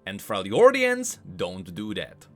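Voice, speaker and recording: Animated man explaining game lore. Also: fairly low pitch, takes it slowly, faint music behind. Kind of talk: scripted explanatory commentary.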